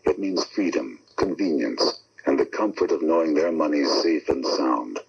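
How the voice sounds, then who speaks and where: A male announcer giving a steady, authoritative public service read on a lo-fi 1970s radio broadcast. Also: a bass voice, normal speed, vocal fry, breathy edge.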